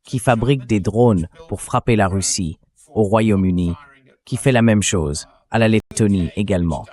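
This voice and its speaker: This is a man's synthetic dubbing voice with a fairly low pitch. He delivers political commentary in a measured, analytical way, as in a translated interview. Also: a little mellow.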